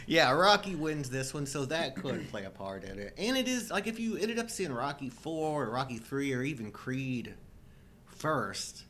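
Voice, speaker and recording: Man around 50, mid-pitched, very lively intonation, jokey co-host, noticeable background noise and rumble. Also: measured pace. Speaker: co-host of a live show. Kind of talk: casual chat about films.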